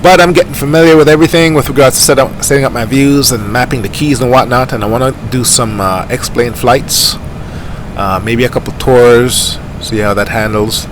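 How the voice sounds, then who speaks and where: A middle-aged man from Jamaica with a light Jamaican accent, chatting casually and rambling with plenty of fillers while flying a simulator. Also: moderate pace. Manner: rambling, easygoing, many fillers. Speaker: flight sim streamer. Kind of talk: casual gameplay commentary. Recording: steady aircraft engine rumble behind.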